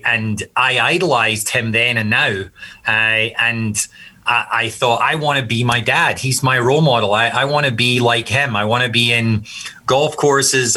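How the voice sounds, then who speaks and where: A man in his fifties from Scotland with a blended Scottish-American accent, answering interview questions reflectively with frequent pauses and restarts. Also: medium pitch, bright, forceful tone. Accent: mixed Scottish and American. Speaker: golf course architect guest.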